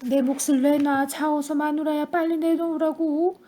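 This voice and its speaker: A demanding old grandfather voiced by a woman who calls out orders at a slow, heavy pace. The voice stays high and mellow, as in a read-aloud storybook. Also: steady tone.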